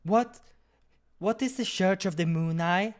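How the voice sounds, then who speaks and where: A man's voice: sits high, a little slow, voice pushed up. A man voicing an indignant, sassy, easily flustered male cat in a visual novel, scolding and exasperated.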